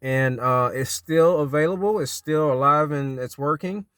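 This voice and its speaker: A man's voice: on the high side, moderate pace, fairly bright tone, clear, resonant tone, no echo, clean studio sound. A middle-aged American man talking casually as a CB radio hobbyist, often repeating his phrases. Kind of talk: casual vlog talk and radio calling.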